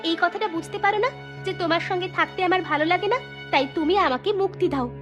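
A woman's voice: very high-pitched, fast talker, music in the background. A woman voicing a cold, unapologetic young woman with a dismissive manner in a cartoon. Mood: defiant. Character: cold, defiant young woman leaving her lover. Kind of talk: melodramatic cartoon acting.

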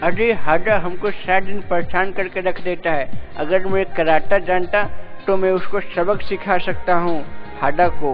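A man's voice: sits high, steady tone, a little slow, rough recording. A man voicing a bulky, earnest, put-upon boy in a children's cartoon, speaking in simple, emphatic exclamations. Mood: scheming, aggrieved. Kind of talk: Hindi children's cartoon dubbing. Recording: music behind the voice.

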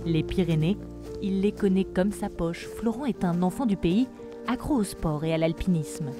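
Documentary voice-over: woman, low-pitched, measured read, music bed underneath. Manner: measured, even narration. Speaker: documentary narrator.

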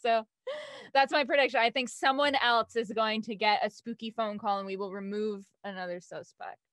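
A woman's voice: a little high, steady tone, moderate pace, fairly bright tone, no echo, studio quality. A young adult woman chatting casually as an actor on an online panel. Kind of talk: casual chat. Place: home, on a video call.

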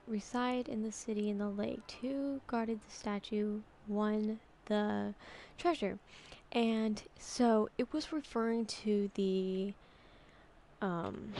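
A woman giving casual, halting, exasperated commentary as host of a gaming video. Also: on the high side, vocal fry, a little mellow, slight background noise. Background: video game music.

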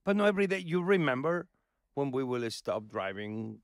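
A man in his fifties hosting a podcast and teasing his family in casual conversation, recorded on a boom mic in a cozy living-room studio. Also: very animated, normal speed, no echo. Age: 50s. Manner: teasing, playful questioner. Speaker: podcast host. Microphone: boom-arm studio mic.

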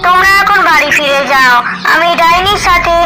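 A solemn cartoon goddess of nature, voiced by a woman, stern yet merciful. She speaks with high-pitched authority over loud background noise. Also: normal speed. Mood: solemn, commanding. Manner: solemn, commanding. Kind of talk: animated fairy tale voice acting.

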